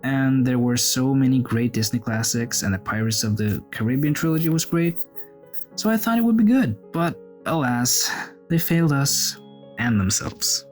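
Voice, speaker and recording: Thoughtful man, halting delivery. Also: medium pitch, lively intonation, soft background music. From Norway. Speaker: viewer contributor. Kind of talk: read-out personal statement.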